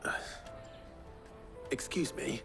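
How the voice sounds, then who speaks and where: An actor playing a terse, earnest male adventurer in a fantasy video game, speaking in a measured way. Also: over music. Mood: earnest, sombre. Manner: terse, low-key, measured. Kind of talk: dramatic video game acting.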